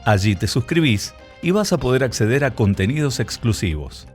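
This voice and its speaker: A man's voice: mid-range voice, big pitch swings, moderate pace. An Argentine man reading a scripted promotional announcement for a podcast. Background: faint music.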